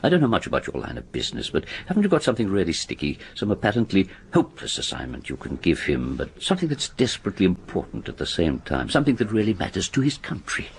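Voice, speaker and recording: An actor in a radio drama playing an earnest psychologist, reasoned and gently pleading in manner. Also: male voice, deep voice, huge pitch swings, quick pace, few pauses, a little hiss or hum, heavily acted. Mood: earnest, persuasive. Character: earnest male psychologist, persuasive and measured.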